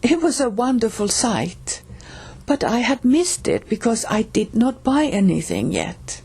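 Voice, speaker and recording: A middle-aged woman with a Finnish accent, telling a personal story slowly, with pauses and restarts. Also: a little high, very animated, a little mellow, breathy edge. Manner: slow, halting, with pauses and restarts. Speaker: reader and storyteller.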